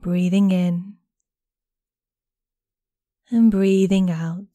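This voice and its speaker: A woman guiding a meditation in a gentle, soothing, unhurried manner with long pauses between phrases. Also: mid-range voice, little pitch movement, no room sound. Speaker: guided meditation instructor. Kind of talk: guided body scan meditation narration.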